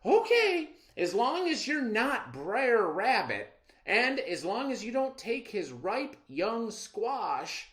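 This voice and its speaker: A man acts out a polite little farm girl from a storybook. The voice is pitched up and lilts widely. She sounds innocent and eager to oblige. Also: sing-song, slow, fairly bright tone, roomy sound, heavily acted. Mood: obliging, trusting. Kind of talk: children's storybook read-aloud.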